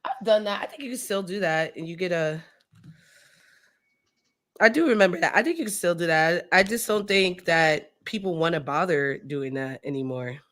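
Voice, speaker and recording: A woman's voice: on the low side, normal speed, fairly bright tone. A woman streamer chatting casually and informally, with slangy phrasing, while she builds. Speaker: streamer co-hosting a Lego build.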